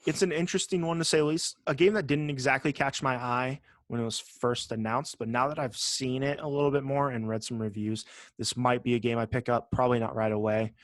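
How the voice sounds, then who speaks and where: A man's voice: medium pitch, fairly even intonation, fairly quick, few pauses, no room sound. Casual male podcast co-host, dry close sound. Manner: profane, joking and sarcastic. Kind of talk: casual gaming podcast chat.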